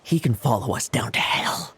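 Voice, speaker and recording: A man's voice: mid-range voice, lively intonation, normal speed, a bit bright, slight background noise, natural voice. A man playing an intense, reckless renegade knight. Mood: scornful, determined. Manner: dramatic, intense, insistent. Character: ruthless, reckless renegade knight obsessed with his goal. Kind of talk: dramatic video game voice acting.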